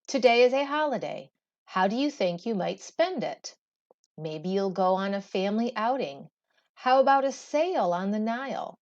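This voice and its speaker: Woman, teacher, expressive classroom read-aloud, very varied intonation, unhurried storybook phrasing, slightly dull lo-fi recording. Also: medium pitch. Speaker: teacher reading a textbook aloud.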